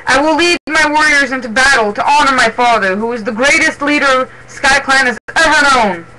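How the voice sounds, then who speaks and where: A man voicing a proud, hot-headed male warrior cat leader in a storybook, yelling and spitting defiance. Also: extremely high pitch, moderate pace, voice pushed up, full character voice, low-quality recording. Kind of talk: dramatic storybook character reading.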